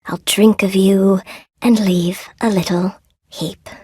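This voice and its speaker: A woman voicing a friendly, gentle spider-woman seamstress in a video game, sweet and polite, eager to please and a little lonely. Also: mid-range voice, steady tone, slow, slightly rough, no echo, clean studio sound. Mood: soft singsong. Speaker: game character, shopkeeper. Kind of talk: video game voice acting, short character barks.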